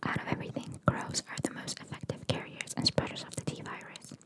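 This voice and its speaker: A woman hosting ASMR, reading trivia in a breathy whisper with chatty, informal, opinionated asides. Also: a little fast. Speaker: ASMR host.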